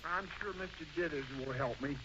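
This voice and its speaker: An actor playing an excitable, bumbling suburban husband and father in an old radio comedy, stammering and flustered. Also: fairly high pitch, brisk, over loud music, close to an everyday voice. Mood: eager, coaxing. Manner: flustered, stammering, interjecting constantly. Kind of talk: 1940s radio comedy acting. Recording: dull, noisy old-time radio recording.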